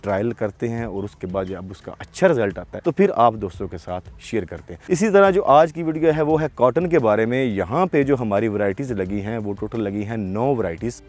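A man's voice: on the low side, expressive, a little fast, hardly any pauses, a little mellow. A man, explaining things as a farm video presenter, speaking outdoors into a lapel mic. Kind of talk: explanatory farming presentation to camera. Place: outdoors in a farm field among palm trees.

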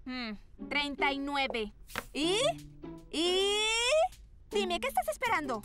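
Pushy, high-pitched vain young model. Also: female voice, sing-song, slow pace, loud music behind the voice, full character voice. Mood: demanding, impatient. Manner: demanding, haughty. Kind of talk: animated cartoon dub acting.

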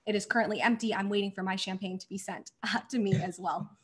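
A woman's voice: medium pitch, steady tone, fast. A woman hosting a virtual charity gala, thanking sponsors effusively while losing her voice. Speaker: gala host. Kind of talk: scripted event hosting.

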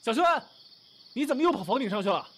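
A man's voice: extremely high pitch, expressive, fast, fairly bright tone, a little hiss or hum. An actor in an audio drama playing a modern young man who is doting, exasperated and secretly lovesick. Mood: caring, coaxing.

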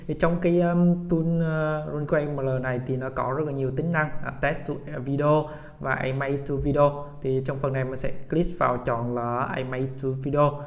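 A man hosting a casual software tutorial, speaking haltingly with many hesitation sounds and English tech terms mixed in. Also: medium pitch, little pitch movement. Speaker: tutorial host.

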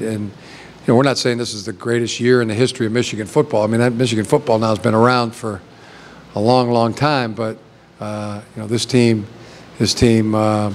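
Halting man coach at a press table. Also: mid-range voice, a little hiss or hum. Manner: halting, many restarts and fillers. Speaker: head football coach. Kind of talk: postgame press conference answers. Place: press conference room with backdrop. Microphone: table mic.

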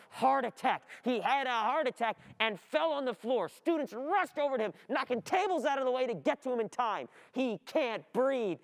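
A young woman acting an anxious, overwhelmed, easily distracted student in an agitated, rambling theatrical monologue. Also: a little high. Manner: agitated, rambling inner monologue, repeating words. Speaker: actor playing a student. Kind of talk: theatrical radio-play monologue. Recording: long hall reverb of a stage.

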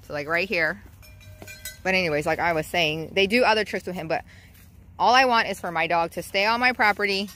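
A woman's voice: fairly low pitch, a little fast, pushed and bright, a little hiss or hum. A woman vlogging on her homestead, chatting casually and laughing as she talks to her animals. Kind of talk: casual vlog chat. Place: outdoors in a farmyard.

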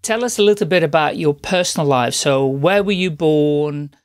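A man's voice: fairly high pitch, moderate pace, clear, resonant tone, no room sound, clean studio sound. A man hosting a business podcast, upbeat and enthusiastic as he asks questions. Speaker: podcast host. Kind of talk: podcast interview.

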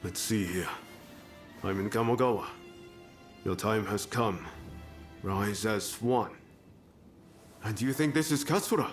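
An actor playing a bold rebel samurai in a video game cutscene, decisive and commanding yet friendly. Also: male voice, fairly low pitch, measured pace, long pauses, natural voice. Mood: businesslike. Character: bold rebel samurai rallying allies against bloodshed. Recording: game dialogue over clear background music.

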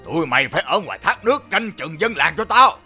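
High, blustering enemy general. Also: male voice, very animated, quick pace, sharp tone, soft background music, heavily acted. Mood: scornful, boastful. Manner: blustering, commanding. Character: brutal, boastful enemy general, adult man, easily enraged. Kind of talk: animated fairy-tale voice acting.

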